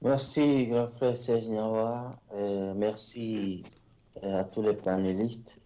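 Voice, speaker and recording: A male panelist greeting the others slowly and politely over a video call. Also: on the low side, flat delivery, mellow. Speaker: panelist guest. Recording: muffled call audio with faint noise.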